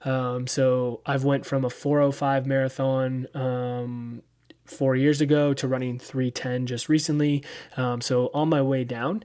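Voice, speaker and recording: A man of thirty giving a casual weekly running recap, relaxed and rambling with lots of ums. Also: medium pitch, unhurried, clear, resonant tone. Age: 30s. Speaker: running vlogger.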